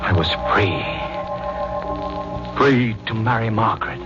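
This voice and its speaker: An actor playing a guilt-ridden judge and wife killer, narrating and speaking in dramatic, anguished 1940s radio style. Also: male voice, on the low side, slow pace. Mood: grim, uneasy. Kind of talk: 1940s radio acting, first-person narration and dialogue. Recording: muffled old radio recording with loud music.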